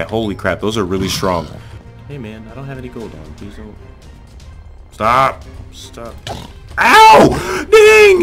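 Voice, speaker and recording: A young man bantering casually with friends during gameplay, excitable, slangy and quick to swear. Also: mid-range voice. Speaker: gaming video creator.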